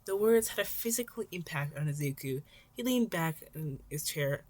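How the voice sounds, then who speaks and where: Female podfic narrator, lower-than-average register, scripted story reading. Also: expressive, normal speed, a little mellow.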